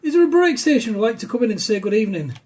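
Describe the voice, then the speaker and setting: Bloke with quite a high voice doing a casual ham radio demo chat. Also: male voice, very animated, racing, a little mellow, a little hiss or hum. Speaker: amateur radio operator filming a demo video.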